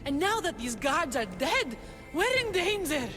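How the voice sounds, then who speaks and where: A woman's voice: high voice, big pitch swings, a little slow. A woman voicing a frightened, grateful mother in a video game. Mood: fearful, grateful. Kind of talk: dramatic video game dialogue. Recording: long cave reverb, soundtrack music behind. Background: music.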